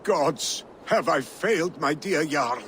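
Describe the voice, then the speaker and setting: An actor playing a weary, anxious Norse advisor in a game cutscene, dutiful, grumbling and exasperated. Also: male voice, on the high side, animated, slow pace, a bit bright, a little hiss or hum. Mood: exasperated, despairing. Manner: exasperated, fretful. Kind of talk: video game cutscene acting.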